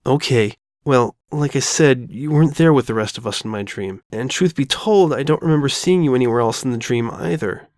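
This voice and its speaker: A man's voice: mid-range voice, fairly quick, few pauses, darkish tone, no echo, clean studio sound, natural voice. A man voicing an anxious, earnest young female pony with hesitant phrasing in a dramatic fan fiction reading. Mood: guilty, upset.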